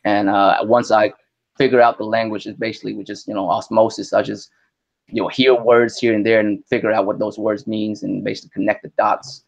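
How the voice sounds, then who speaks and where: A young man from Vietnam, a Vietnamese native speaker, chatting as an interview guest in an animated, slangy way. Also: fairly low pitch, brisk, vocal fry, highs cut off. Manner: animated, slangy, lots of "you know what I mean". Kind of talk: casual interview chat.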